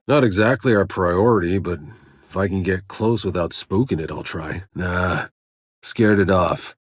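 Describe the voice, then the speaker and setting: A man playing a gruff, sardonic, battle-worn soldier in a sci-fi video game, with a gritty, husky voice and a terse, dry delivery. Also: low-pitched, no echo, full character voice. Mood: casual, alert.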